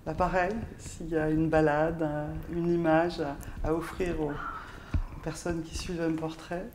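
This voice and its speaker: A woman interviewer with a low, mellow voice. Her questions come out halting and full of fillers, with faint noise behind her. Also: level tone. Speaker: interview host.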